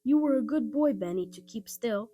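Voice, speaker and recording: A woman's voice: a little high, expressive, normal speed, dark tone. A woman voicing a responsible, cheerful older brother in a children's storybook, reassuring and instructing. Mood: bright, pleased.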